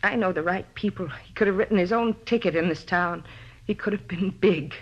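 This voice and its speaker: Actress as bitter faded star on dull old radio. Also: female voice, lowish voice, brisk, close to an everyday voice. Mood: broken, pleading. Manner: pleading, self-justifying, bitter. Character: possessive faded female star confessing to murder. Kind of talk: 1950s radio drama acting. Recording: dull vintage radio broadcast recording.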